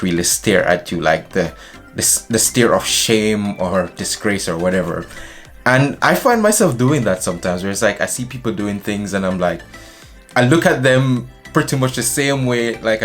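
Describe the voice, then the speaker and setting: A young man from Jamaica chatting casually to camera in a small indoor room, animated and gesturing as he talks. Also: on the low side. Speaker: vlogger.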